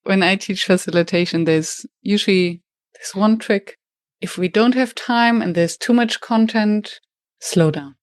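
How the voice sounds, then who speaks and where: A woman with a German accent, a native German speaker, hosting an interview in English. She talks quickly and reflectively and restarts phrases as she thinks aloud. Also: mid-range voice, no echo, studio quality. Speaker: podcast host and facilitation trainer. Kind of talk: conversational interview.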